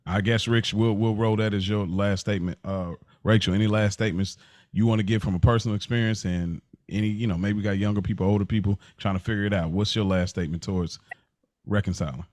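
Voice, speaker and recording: Male host moderating a panel, lower pitch, dry sound, informal and slangy. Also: steady tone, fast talker, darkish tone, dry recording. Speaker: podcast host. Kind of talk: casual moderated discussion.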